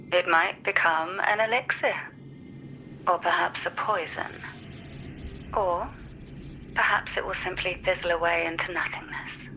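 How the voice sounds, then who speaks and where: A woman voicing a calm, enigmatic ally in a video game, speaking in a measured, formal and philosophical way. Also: fairly low pitch, slight background noise, heavily acted.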